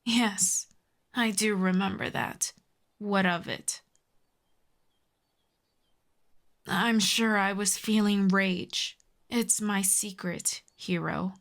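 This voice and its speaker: A woman performs a proud villainess from an ASMR audio drama. She speaks slowly and deliberately in a mid-range voice, haughty and teasing, with fondness underneath. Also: medium pitch, fairly even intonation, vocal fry. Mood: cool, guarded. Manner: haughty, teasing, slow deliberate delivery with soft whispers. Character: proud telepathic villainess, secretly fond of a hero. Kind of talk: intimate ASMR audio roleplay.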